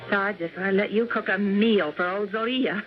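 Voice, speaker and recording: An actress playing a wary young newlywed wife in a radio drama, wry and skeptical. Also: female voice, mid-range voice, brisk, slight background noise, close to an everyday voice. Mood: suspicious. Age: young adult. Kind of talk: old-time radio drama acting. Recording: dull lo-fi radio drama recording.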